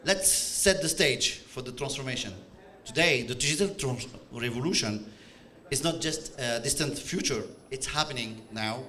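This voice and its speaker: A man's voice: mid-range voice, normal speed, bright, forceful tone. A middle-aged man from Algeria with an Algerian accent, giving a conference presentation in non-native English, hesitant, with many fillers and restarts. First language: French and Arabic. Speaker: conference speaker. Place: conference stage.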